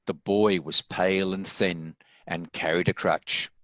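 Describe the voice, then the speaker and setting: A man's voice: sits low, steady tone, unhurried, a bit bright, no echo. A man reading scripted story narration as the narrator of a dramatic table read.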